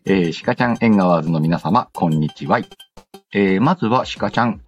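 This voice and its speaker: Deep-voiced man hosting a casual letters show. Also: fairly quick, lo-fi sound, soft background music. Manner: playful quips and retorts to what is read. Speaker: host of an audio show reading listener letters. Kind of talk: casual radio-style chat, reading listener messages aloud with asides.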